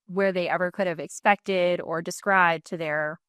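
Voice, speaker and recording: Smooth-voiced woman hosting an interview. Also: lowish voice, fairly even intonation, a bit bright, no echo. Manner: friendly, curious, quick follow-up questions. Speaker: podcast host, interviewer. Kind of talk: casual interview chat.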